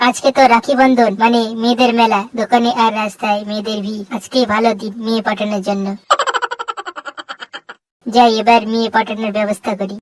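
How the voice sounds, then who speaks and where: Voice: mid-range voice, little pitch movement, fairly quick, slight background noise, lightly acted. A performer voicing a brash, cheeky young cartoon schemer who chases girls, with comic exaggerated delivery. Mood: grumbling, scheming. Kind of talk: comic cartoon dialogue in Bengali.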